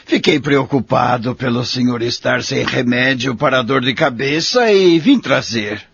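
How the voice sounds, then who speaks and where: Guy doing jovial old buddy, really raspy n gravelly, considerate, audio soap, muffled sound. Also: medium pitch, lively intonation, a little slow, fairly bright tone, dry recording, heavily acted. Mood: friendly, conspiratorial. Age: older. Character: kindly elderly married friend, jovial and considerate. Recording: dull lo-fi audio.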